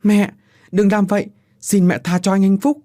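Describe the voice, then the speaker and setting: A man's voice: high-pitched, flat delivery, normal speed, mellow, no echo, clean studio sound, voice pushed up, natural voice. A man voicing a timid young woman who pleads in a distressed voice. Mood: pleading.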